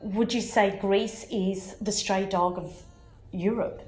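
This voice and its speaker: A woman interviewing a guest on a TV show, asking prepared questions in a measured, courteous way. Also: mid-range voice, fairly even intonation, darkish tone, roomy sound.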